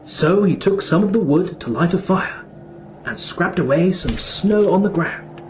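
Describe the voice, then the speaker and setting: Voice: mid-range voice, animated, measured pace, slight background noise, rough recording. A narrator telling a children's fairy tale in a dramatic, wondering storytelling manner. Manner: wondering, suspenseful questioning.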